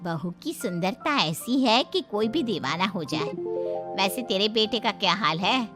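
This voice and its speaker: A woman's voice: medium pitch, lively intonation, measured pace, over music, natural voice. Woman doing a gloating spiteful cartoon gossip.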